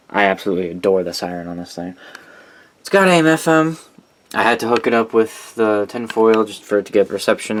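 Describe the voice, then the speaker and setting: A man with a fairly low voice presents his collection as a keen hobbyist. He talks informally and rambles in an unscripted, enthusiastic way. The amateur recording carries clear background noise. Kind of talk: casual unscripted show-and-tell.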